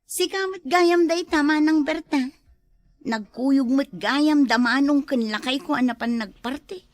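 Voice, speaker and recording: A woman's voice: high voice, unhurried. A woman with an Ilocano accent playing a worried, complaining village wife in an amateur comedy drama.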